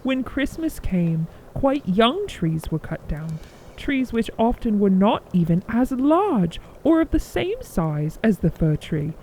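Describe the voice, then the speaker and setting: Female storyteller for children's tales: soft, warm timbre, lively melody, faint background noise. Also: a little high, takes it slowly, rough recording. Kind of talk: scripted children's story narration.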